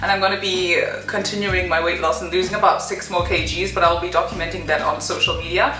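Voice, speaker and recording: A woman's voice: lowish voice, steady tone, quick pace, sharp tone, faint music behind. Chatty woman in an echoey room. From Zimbabwe. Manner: chatty, many fillers and "kind of". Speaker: vlogger. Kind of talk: personal story vlog.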